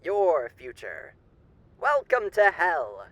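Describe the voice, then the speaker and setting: A man's voice: on the high side, huge pitch swings, takes it slowly, fairly bright tone, no echo, heavily acted. A man playing a suave, sinister demon with a theatrical, condescending manner and old-fashioned genteel endearments in a roleplay monologue. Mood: intrigued, sinister.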